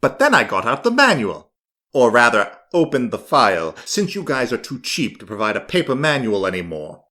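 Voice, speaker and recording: A man's voice: medium pitch, wildly swooping pitch, normal speed, pushed and bright. A man voicing a pompous, irritable mad scientist in an audiobook monologue, leaving an exasperated, conversational phone message. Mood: bemused, irritated. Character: fussy, irritable mad scientist doctor, a self-absorbed customer. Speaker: audiobook narrator.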